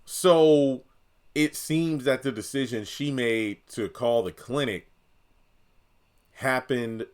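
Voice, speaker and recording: A man's voice: mid-range voice, slow pace, clean tone. A man giving casual, conversational opinion commentary on a TV drama, thinking aloud and speaking straight to viewers. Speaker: video commentator.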